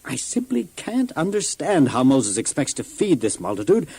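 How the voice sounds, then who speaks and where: A man's voice: a little high, sing-song, normal speed, creaky, mellow, breathy edge, heavily acted. An actor playing a worried, complaining Israelite father and elder in a children's audio Bible drama, speaking earnestly with some hesitation. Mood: worried, grumbling.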